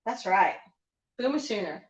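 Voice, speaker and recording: A woman's voice: mid-range voice, lively intonation, moderate pace. A woman co-hosting a casual home livestream, laughing often as she reads viewer comments aloud. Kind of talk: casual chat. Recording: echoey room, slightly dull sound.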